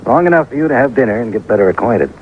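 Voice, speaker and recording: A male radio actor playing a police detective with a brisk, casual, matter-of-fact manner. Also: medium pitch, lively intonation, narrow, tinny audio, close to an everyday voice. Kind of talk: old-time radio acting. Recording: thin, noisy old radio recording.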